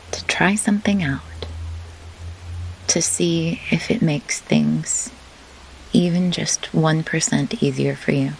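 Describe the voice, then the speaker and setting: A woman leading a guided meditation in measured, deliberate phrases with pauses, as a host who works as a grief doula. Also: low-pitched, poor audio. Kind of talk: slow guided meditation instruction. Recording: clear pink-noise rain hiss behind. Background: pink noise like steady rain.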